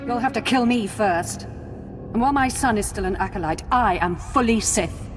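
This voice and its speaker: A woman's voice: mid-range voice, normal speed, natural voice. Imperious scheming noblewoman in a reverberant hall an actress. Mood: indignant. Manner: imperious, formal, dramatic. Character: proud, scheming Sith noblewoman and protective mother. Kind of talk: video game voice acting. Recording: long hall reverb, faint music.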